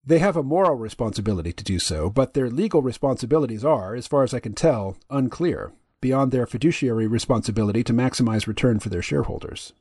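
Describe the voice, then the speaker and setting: A deep-voiced man hosting a podcast, reading his side of a dialogue in a measured, analytical way. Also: expressive, darkish tone, slightly rough, no echo, studio quality. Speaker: podcast host.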